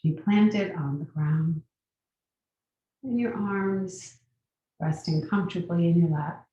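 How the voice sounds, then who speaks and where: A woman guiding a meditation as a teacher, giving gentle, unhurried instructions with long pauses. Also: fairly low pitch, muffled recording. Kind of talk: guided meditation. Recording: very echoey room, distant mic.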